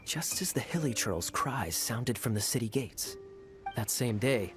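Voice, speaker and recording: A man's voice: medium pitch, lively intonation, unhurried, music in the background, natural voice. Man playing a suave, teasing knight captain. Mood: calculating. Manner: teasing, drawling, theatrical. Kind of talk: video game voice acting.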